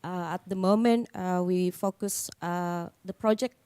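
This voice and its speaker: A woman's voice: fairly low pitch, fairly even intonation, a little slow, dark tone, clear, resonant tone, dry recording. A woman from Indonesia in her thirties, a startup founder on a panel, explaining plainly with fillers. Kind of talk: panel talk. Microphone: handheld.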